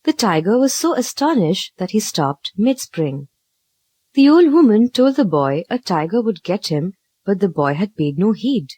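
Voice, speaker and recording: A woman narrating a children's story from a script, with an expressive, dramatic read-aloud delivery. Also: medium pitch, normal speed, breathy edge, no echo.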